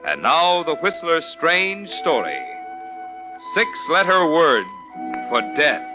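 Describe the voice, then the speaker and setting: A man's voice: on the high side, sing-song, slow, music in the background, low-quality recording. A man announcing in scripted 1940s radio style, emphatic and promotional.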